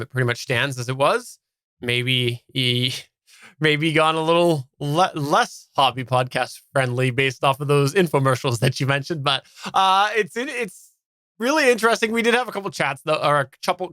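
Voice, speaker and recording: Man, podcast co-host, casual live chat, measured, polite, crisp bright tone, dry recording. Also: medium pitch, no echo.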